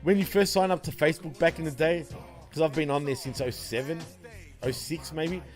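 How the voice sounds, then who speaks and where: A man's voice: fairly high pitch, fairly even intonation, a little fast, faint music behind. A man from Australia with an Australian accent, co-hosting a casual late-night wrestling podcast, laid-back and laughing often, swearing freely. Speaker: podcast co-host.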